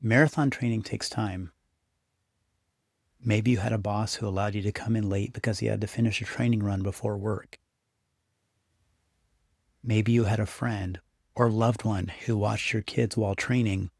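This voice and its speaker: Low-voiced man guiding a meditation. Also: creaky, darkish tone, dry recording, clean studio sound. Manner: unhurried, instructive, encouraging second-person address. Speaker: meditation guide. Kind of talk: guided meditation, scripted narration.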